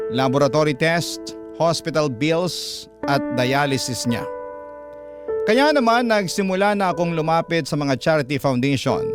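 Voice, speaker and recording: A Filipino man narrating a struggling mother's letter as emotive, sorrowful radio storytelling. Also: on the high side. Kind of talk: dramatic scripted narration. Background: loud music under the voice.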